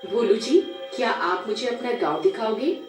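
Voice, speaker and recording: A woman's voice: a little high, animated, normal speed, darkish tone, close to an everyday voice. A woman voicing a polite, sweetly coaxing young mystery woman in a horror story. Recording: echoey, distant, music underneath.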